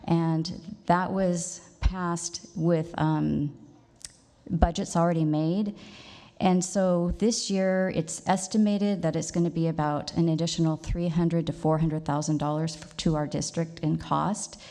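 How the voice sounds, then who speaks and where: A middle-aged woman from Oregon speaks at a forum in a church hall. Her voice sits low and mellow. She talks earnestly and fills pauses with "um". A long reverb trails through the hall. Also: little pitch movement. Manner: earnest, many fillers. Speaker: school board member. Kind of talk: public forum remarks.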